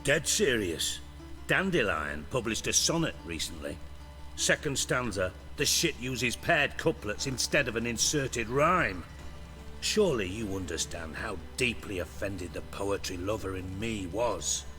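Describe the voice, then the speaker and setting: Game villain: a low, sardonic underworld boss, theatrical and menacing, faint music underneath. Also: male voice, expressive, unhurried, sharp tone. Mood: mock outrage. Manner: theatrical, sarcastic, wordy. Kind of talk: video game voice acting.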